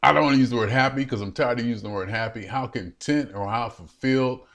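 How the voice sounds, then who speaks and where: A man in his late fifties talking on and on to a webcam in his room, animated and laughing, often repeating himself. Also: mid-range voice, quick pace. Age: 50s. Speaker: vlogger. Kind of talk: rambling solo vlog to a webcam.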